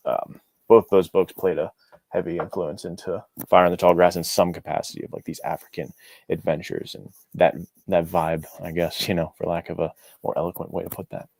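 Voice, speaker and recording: A man speaking as a guest author in a casual podcast conversation, with an informal, halting way of talking. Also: low voice, level tone, vocal fry, a little mellow, no echo. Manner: informal, halting, peppered with "like" and "you know".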